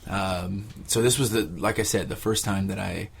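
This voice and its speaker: A man giving casual, reflective interview answers, haltingly searching for his words. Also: low-pitched, gravelly, husky. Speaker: interviewee, exercise participant.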